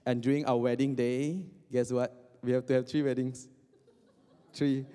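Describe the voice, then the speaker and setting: A man's voice: medium pitch, level tone, moderate pace, dark tone. A young man from Indonesia giving a casual, joking sermon, full of fillers and restarts. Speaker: preacher. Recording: long hall reverb.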